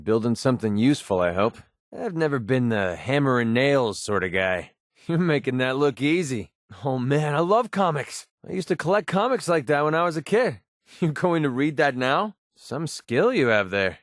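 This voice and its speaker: An actor playing a cynical, wisecracking young mercenary in a video game, with a sardonic, casual, streetwise delivery. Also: male voice, mid-range voice, lively intonation, moderate pace, no echo, studio quality, natural voice. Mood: amused. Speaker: video game companion character. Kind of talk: video game voice acting, short scripted barks.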